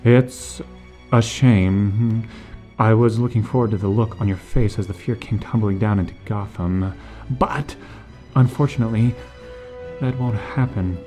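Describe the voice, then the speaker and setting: Smug, calculating audio-drama villain, male, acted, low register, halting menace, faint music behind. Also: mellow, lightly acted. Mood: mock regret. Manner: hesitant, drawn-out phrasing with pauses. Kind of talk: fan audio drama acting.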